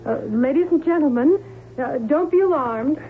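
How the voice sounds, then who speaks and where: A woman's voice: high-pitched, expressive, moderate pace. A woman playing an anxious, hesitant flight attendant in an old-time radio drama. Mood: anxious, reassuring. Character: anxious female flight attendant calming passengers. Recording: tinny, noisy old radio recording.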